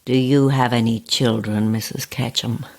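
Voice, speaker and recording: An actress playing a sly, sweetly menacing ancient old woman in a horror audio drama, husky and gravelly, with slow, relishing delivery. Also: extremely low pitch, steady tone, darkish tone. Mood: sly menace. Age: older. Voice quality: husky, gravelly, sometimes hissing whisper. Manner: slow, relishing, theatrical, condescending endearments.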